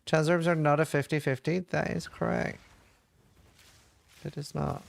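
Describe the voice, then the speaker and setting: A man's voice: a little high, steady tone, measured pace, creaky. A man from Norway, casually chatting and thinking aloud while he streams a game and answers chat questions.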